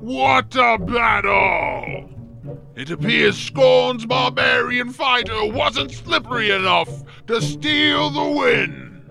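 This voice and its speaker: Gravelly, shouting ogre arena announcer. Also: male voice, very high-pitched, animated, heavily acted. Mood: excited showman. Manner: shouting, drawn-out showman delivery. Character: brutish, rowdy ogre arena announcer, booming showman. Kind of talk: audio drama character acting. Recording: music and crowd behind the voice.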